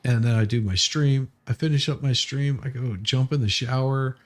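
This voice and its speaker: A man's voice: medium pitch, normal speed. A man streaming casual, jokey chat in a rambling way, often repeating his words as he goes. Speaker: live streamer.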